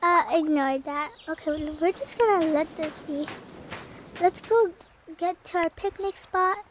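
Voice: very high-pitched, measured pace, a bit bright, slight background noise. A performer playing a cheerful older sister in an amateur family roleplay, girlish and casual in delivery.

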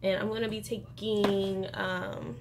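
A woman's voice: medium pitch, level tone, slow pace, vocal fry. Chatty young woman with background noise. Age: young adult. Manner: informal, slangy, chatty. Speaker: beauty vlogger. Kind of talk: casual makeup tutorial chat. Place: bedroom, indoors. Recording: noticeable room noise.

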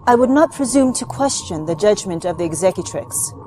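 A woman's voice: mid-range voice, animated, a little fast, background noise, lightly acted. An actress playing a dutiful, controlled female military mentor in a science fiction game, giving clipped, formal briefings. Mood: deferential. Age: young adult. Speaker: actor in game cutscenes. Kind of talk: formal scripted mission briefing. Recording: long hall reverb, music underneath. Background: background music.

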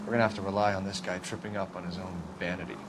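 Casting: male actor as earnest American detective, thirties, low-pitched, formal, clipped, game crime drama, noisy street behind. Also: flat delivery, rapid-fire, natural voice. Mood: restrained, firm. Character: earnest, by-the-book young male homicide detective. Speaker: police detective.

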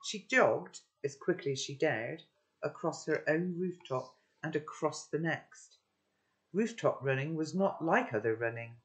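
An older woman reading a children's novel aloud into a laptop webcam at home, then musing gently with rhetorical questions. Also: deep voice, unhurried. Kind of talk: read-aloud storytelling with chat. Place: home room, indoors.

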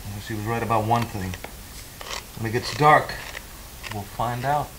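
A man's voice: medium pitch, a little slow, lightly acted. Guy acting as gossipy small town local, dry folksy talk, radio play, slight noise. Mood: wry, uneasy. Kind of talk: audio drama acting.

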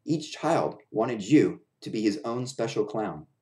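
A man's voice: on the low side, very animated, measured pace, darkish tone. A man voicing an upbeat, reassuring circus clown, breezy and emphatic, in a storybook reading. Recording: roomy echo of a bare room.

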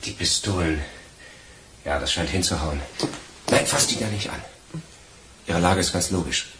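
Radio crime play: male actor as sardonic traitor among thieves, low register, taunting delivery, some hiss and clicks. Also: normal speed, natural voice. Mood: businesslike. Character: gloating, envious criminal betraying his partner. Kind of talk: German radio crime drama acting.

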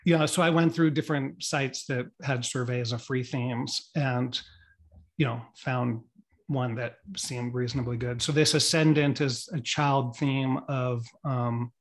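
A middle-aged man giving a casual screen-share talk at a meetup from a home room on a video call, relaxed and rambling with many ums and restarts. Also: medium pitch, unhurried. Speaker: meetup presenter. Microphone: webcam on a video call.

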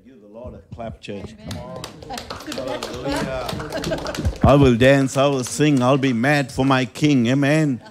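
A man's voice: mid-range voice, lively intonation, unhurried, pauses often, noisy background. A man preaching energetically to a congregation in a small church hall, urging them on with a handheld microphone. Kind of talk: sermon. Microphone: handheld mic.

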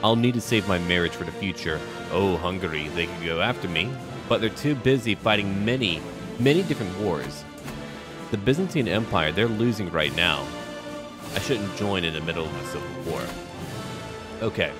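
A man's voice: sits low, expressive, moderate pace. A man narrating a strategy game roleplay in a theatrical, in-character manner. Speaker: gaming video host. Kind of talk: scripted story narration and game commentary. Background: background music.

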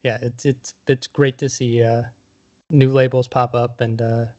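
Dull lo-fi recording, man, podcast interviewer, medium pitch, casual conversation. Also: flat delivery, unhurried, darkish tone. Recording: dull, lo-fi sound.

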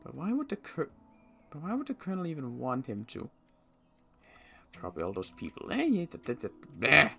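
A man's voice: mid-range voice, huge pitch swings, moderate pace, gravelly, pushed and bright, soft background music, heavily acted. A man playing a suspicious, agitated apocalypse survivor in improvised game roleplay, muttering to himself with stammers and repeated words. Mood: brooding, suspicious.

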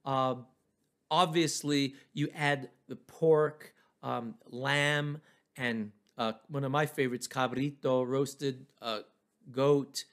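A man's voice: mid-range voice, fairly even intonation, slow pace, fairly bright tone. A man from New Mexico, giving an informal, explanatory history lecture. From New Mexico, United States.

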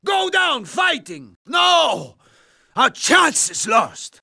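An actor playing a vengeful, commanding middle-aged Soviet sniper in a video game, with a Russian accent, a gravelly, husky voice and dramatic, deliberate delivery. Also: male voice, extremely high pitch, huge pitch swings, sharp tone, raised voice, heavily acted. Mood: desperate, furious. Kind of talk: video game character acting.

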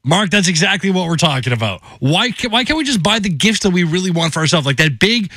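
A man's voice: sits high, very animated, fast, sharp tone, no echo. A man hosting a radio show, chatting casually and jokingly between songs in a conversational way, full of rhetorical questions. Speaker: radio host. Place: radio studio. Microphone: broadcast studio microphone.

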